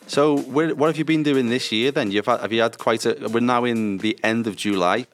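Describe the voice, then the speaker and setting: A man's voice: medium pitch, normal speed. A man hosting a casual interview, chatty, rephrasing his questions as he goes. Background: music.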